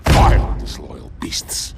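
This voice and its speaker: An actor playing a grim, proud alien warrior commander in a video game, with a gravelly voice and weighty, formal, dramatic delivery. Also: male voice, deep voice, sing-song, a little slow, noisy background, exaggerated voice. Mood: bitter, shocked. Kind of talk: video game cutscene acting. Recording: loud music behind.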